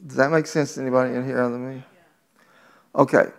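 A man's voice: medium pitch, flat delivery, quick pace, creaky. A man preaching a sermon in a teasing, conversational way, reading scripture and talking directly to the congregation. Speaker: pastor.

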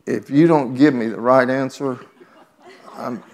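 Gravelly-voiced male preacher. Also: mid-range voice, a little slow. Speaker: pastor. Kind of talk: sermon.

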